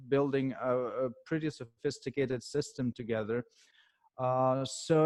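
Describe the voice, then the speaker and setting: Guest consultant, male, Ukrainian accent, non-native English, stop-start delivery, mid pitch. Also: a little mellow, clear, resonant tone, no room sound. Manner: halting, many fillers and restarts. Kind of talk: podcast interview answers.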